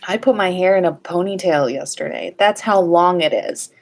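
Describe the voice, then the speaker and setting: A woman's voice: on the low side, moderate pace, a little mellow. A woman hosting a casual livestream in a playful, informal way, sometimes sing-song and casually sweary. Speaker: livestream host.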